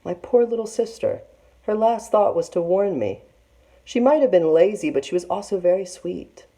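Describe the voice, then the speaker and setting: Woman as a clever big sister, raised pitch. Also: animated, moderate pace, mellow, close to an everyday voice, poor audio. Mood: sorrowful. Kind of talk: children's storybook read-aloud.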